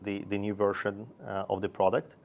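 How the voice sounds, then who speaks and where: A man from Russia and Sweden, a founder talking about his career in halting, measured phrases, as in an interview. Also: fairly low pitch, little pitch movement, gravelly, darkish tone, lo-fi sound, a little hiss or hum. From born in Russia, raised in Sweden. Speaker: company founder and investor.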